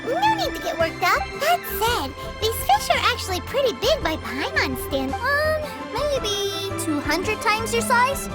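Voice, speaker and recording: A woman voicing an excitable little childlike companion in a video game. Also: very high voice, measured pace, few pauses, exaggerated voice. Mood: chiding. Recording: loud game music behind.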